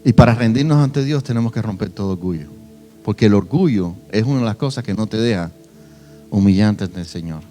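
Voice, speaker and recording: A young man from Cuba, preaching a sermon and telling his own testimony, swinging between soft and loud emphasis. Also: on the low side, animated, a little fast, soft background music. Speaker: pastor.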